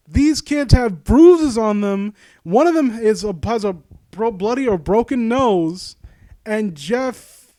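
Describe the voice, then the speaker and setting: A man's voice: high-pitched, a little mellow, no room sound. A man giving casual, blunt commentary as he reads and critiques a story, often stammering and rephrasing, with some swearing. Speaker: host of a story critique series. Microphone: new handheld microphone, uneven distance, voice drifts soft. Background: music in the background.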